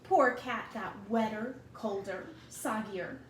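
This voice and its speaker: A woman reading a picture book aloud to young children in a deliberate way, pausing for asides to the listeners. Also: mid-range voice. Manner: slow, deliberate phrasing for children. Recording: roomy, distant mic with clear background noise.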